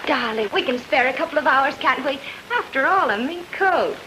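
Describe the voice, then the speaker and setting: An actress playing a coquettish, mink-loving young bride in an old-time radio detective comedy, coy and coaxing. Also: female voice, sits high, expressive, normal speed, noisy background.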